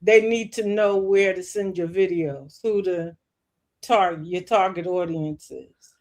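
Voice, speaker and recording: A woman's voice: on the low side, measured pace, a little mellow. Easygoing woman dropping into a live chat. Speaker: guest caller on a live chat. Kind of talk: casual chat.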